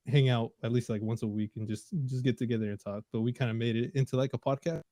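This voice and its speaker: A man's voice: mid-range voice, steady tone, quick pace, warm tone, dry recording. A laid-back man in the middle of his range, co-hosting a casual podcast from home. He rambles, packing his talk with "like" and restarts, and his voice is close and dry with no room sound. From Central Valley, California. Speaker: podcast co-host. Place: home, LA area.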